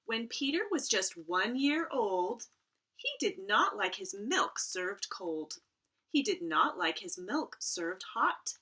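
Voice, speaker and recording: Slow-paced woman talking to children. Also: mid-range voice, big pitch swings, bright, forceful tone, slightly rough. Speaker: school librarian reading aloud to children. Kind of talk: casual chat and read-aloud storytelling for children. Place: at home.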